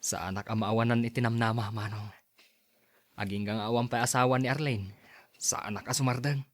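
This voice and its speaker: A man's voice: mid-range voice, steady tone, a little fast, dry recording, clean studio sound, natural voice. A man with an Ilocano accent acting a meek, deferential young suitor in a radio drama. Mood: pleading. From Philippines.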